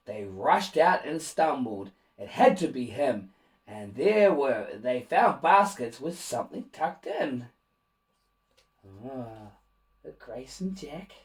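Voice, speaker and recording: A man reading a rhyming children's picture book aloud in an expressive, animated way, with asides to young listeners. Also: medium pitch, takes it slowly, far from the mic. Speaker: children's storybook reader and host.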